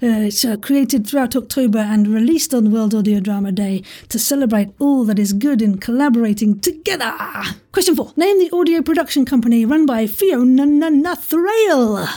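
A woman's voice: on the high side, lively intonation, moderate pace, hardly any pauses, warm tone, soft background music. A woman hosting an informal quiz podcast, chatty and jokey, rambling off into asides and interrupting herself. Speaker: podcast host and quizmaster.

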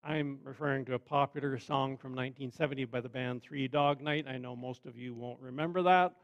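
A man preaching a sermon in a measured, conversational way with wry asides. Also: medium pitch, steady tone, highs cut off. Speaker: pastor.

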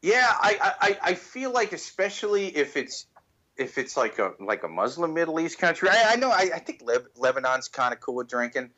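A man's voice: on the high side, sing-song, sharp tone. Stammering man with a muffled voice. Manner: halting, stammering, joking. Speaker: podcast co-host. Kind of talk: casual chat.

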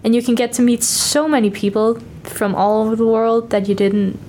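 A woman's voice: fairly high pitch, steady tone, normal speed, darkish tone, slight background noise. A young woman from Denmark with a Danish accent, a native Danish speaker chatting in an interview about her exchange studies in an upbeat, talkative way. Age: young adult. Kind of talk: casual interview chat.

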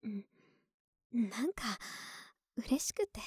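A woman playing a clingy, sweet young neighbor in an ASMR voice drama, soft and breathy, often whispering, intimate and coaxing, close to the mic. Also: fairly high pitch, big pitch swings, slow, no echo, exaggerated voice. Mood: tender, sleepy. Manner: intimate, affectionate, hesitant and coaxing. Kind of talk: Japanese ASMR situation voice drama. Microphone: close, dry ASMR microphone.

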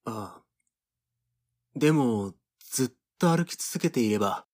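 A man's voice: medium pitch, expressive, measured pace, a little mellow. An actor playing a mild, easygoing young man in a Japanese audio drama, speaking in a hesitant, musing way. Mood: musing, puzzled.

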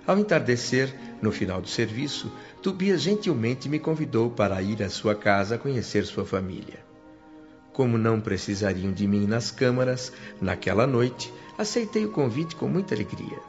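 Brazilian male actor for radio-drama narrator: earnest newcomer, measured, formal, reverent; dull recording. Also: mid-range voice, lively intonation, close to an everyday voice. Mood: reverent wonder. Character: André, earnest grateful newcomer man telling his story. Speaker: narrator and protagonist. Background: music.

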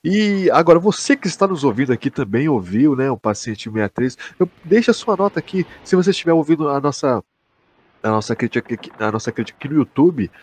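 Male podcast host, Brazilian accent, slightly rough husky tone, excited and sweary casual chat. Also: mid-range voice, quick pace, no echo. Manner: slangy, swearing, emphatic. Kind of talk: casual chat, enthusiastic review.

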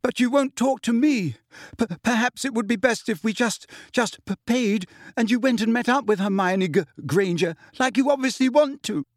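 A man's voice: high voice, sing-song, normal speed, hardly any pauses, a little mellow, no room sound, studio quality, voice pushed up. A man voicing a hurt, jealous teenage girl who stammers as her voice breaks. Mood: shrill.

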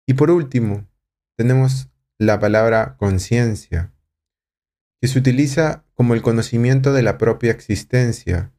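Calm, low-voiced man guiding a reflection. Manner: slow, calm, guiding, asks rhetorical questions. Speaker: podcast host and teacher. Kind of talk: guided reflective lesson.

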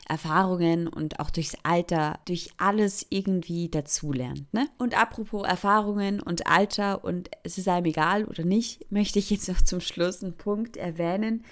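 A woman's voice: on the low side, normal speed, few pauses. A young woman from Thurgau with a Swiss German accent that is partly Zurich, talking candidly about her own life in a casual vlog. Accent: Swiss German, Thurgau mixed with Zurich. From Thurgau, Switzerland. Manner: candid, chatty, self-mocking. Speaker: vlogger. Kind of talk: casual personal monologue.

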